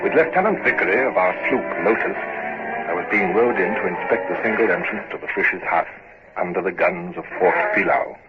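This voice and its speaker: A brusque naval captain. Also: male voice, low voice, expressive, fairly quick, over loud music. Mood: reflective narration. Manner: curt, authoritative orders. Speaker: narrator and lead. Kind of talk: 1940s radio acting. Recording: tinny old radio broadcast with hiss.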